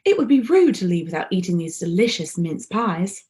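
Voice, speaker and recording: A woman voicing a small, polite, eager young male bear from a children's storybook, earnest and excitable. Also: fairly low pitch, normal speed. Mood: excited.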